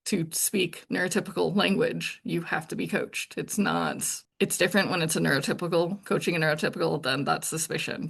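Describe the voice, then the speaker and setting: A woman talks to her viewers in an even, monotone voice, blunt and direct. Her tone carries gravel and a slightly breathy husk. She thinks aloud, circling back and restarting as she goes. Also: low-pitched. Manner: blunt and to the point. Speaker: channel host. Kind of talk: casual true-crime commentary monologue.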